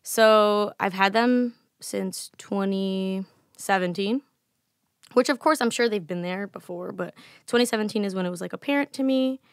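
A woman in her twenties with a slightly raspy voice speaks candidly into a desk mic as a podcast guest. Her voice has an ordinary, mid pitch for a woman. The sound is close and dry, with no room echo. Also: moderate pace. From United States. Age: 20s. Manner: candid, laughing, animated. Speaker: guest singer. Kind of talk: casual podcast interview. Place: small studio with colored lights and a table. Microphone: studio mic on a desk stand.

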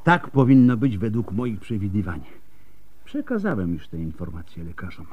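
A man's voice: fairly low pitch, big pitch swings, normal speed, a little mellow. An actor playing a shrewd, skeptical old male doctor in a radio drama. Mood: thoughtful, measured.

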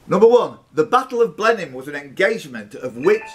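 A man in his fifties acts as quiz master, with a voice pitched a little high. He reads questions out to the players and teases them with jokey banter between items. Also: sing-song, brisk, soft background music. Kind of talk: live pub quiz hosting, reading questions. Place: social club bar room.

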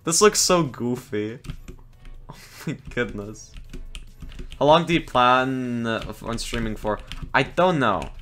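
A young man casually commentating his own game stream, excitable, laughing often and groaning at his fails. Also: mid-range voice, takes it slowly, long pauses. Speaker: gaming streamer.

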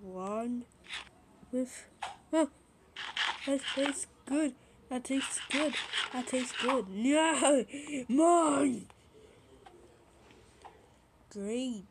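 A woman's voice: sits high, animated, extremely slow, long pauses. A woman improvising an amateur fan dub of a cartoon, repeating short exclamations over and over. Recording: noisy amateur recording with clicks.

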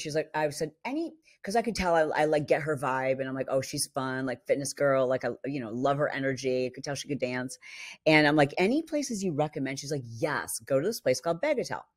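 A middle-aged woman co-hosting a casual podcast, her voice husky from a vocal cord injury, telling stories and acting out quotes. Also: low voice, a little fast. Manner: animated storyteller, acts out quotes. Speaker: podcast co-host. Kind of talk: casual chat. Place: home studio. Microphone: studio mic on boom arm.

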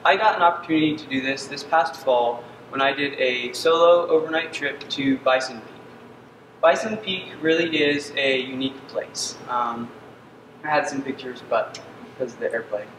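A young man from Uganda giving a senior capstone presentation on a dark stage, earnest and often pausing with ums. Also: medium pitch. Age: young adult. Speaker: student presenter. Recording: distant echoey hall with ambient noise.

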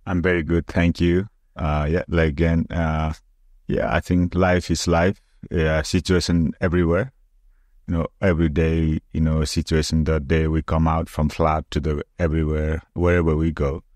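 A middle-aged man from Nepal with a Nepali accent, telling his story casually and haltingly as an interview guest. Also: very deep voice, little pitch movement, darkish tone, no room sound, studio quality. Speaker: podcast guest, former soldier. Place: small indoor room with curtains. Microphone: close podcast microphone on arm.

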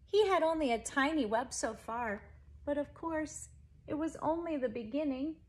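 A woman in her forties reads a children's picture book aloud at home. Her voice is on the high side, with expressive, emphatic phrasing aimed at young listeners. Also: normal speed. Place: living room at home.

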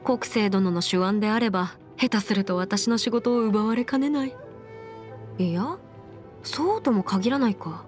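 A woman voicing a confident, brisk young half-immortal lawyer in an anime game, with crisp, formal phrasing and music behind her. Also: mid-range voice, darkish tone, natural voice. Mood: composed, musing. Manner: crisp, self-assured, formal mannish phrasing. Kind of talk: scripted anime game character voice lines. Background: background music.

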